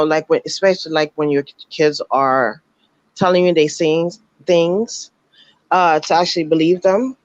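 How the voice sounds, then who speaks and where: A woman calling into a live show, her voice raspy from just waking up, talking earnestly with halting restarts. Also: on the low side, no room sound. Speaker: caller. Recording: dull, dry call-in line.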